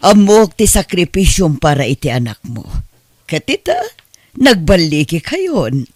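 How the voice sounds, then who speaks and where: A woman's voice: on the low side, very animated, unhurried, darkish tone, no echo, studio quality. A woman playing a kindly, sympathetic madam in an Ilocano audio drama, with an Ilocano accent.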